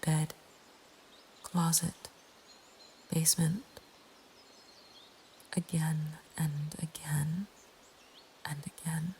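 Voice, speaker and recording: A woman narrating a scripted horror story in a breathy ASMR whisper, with a hushed, deliberate delivery. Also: low-pitched, flat delivery. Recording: faint ambient background noise. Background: soft nature ambience.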